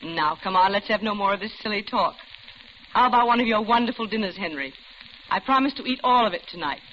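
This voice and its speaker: A woman's voice: mid-range voice, animated, quick pace, natural voice. A muffled, noisy vintage radio recording of an actress as an older widow. She is genteel and talkative, coaxing with an uneasy edge, in a 1940s drama manner. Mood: coaxing, cheerful. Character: chatty, uneasy older widow, diner customer.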